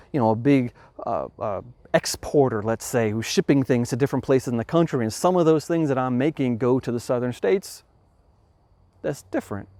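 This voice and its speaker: A man hosting a podcast, talking through a moral question in a thoughtful, measured way, restarting phrases as he reasons aloud. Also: medium pitch, darkish tone, dry recording. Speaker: podcast host. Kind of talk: explanatory talk on ethics.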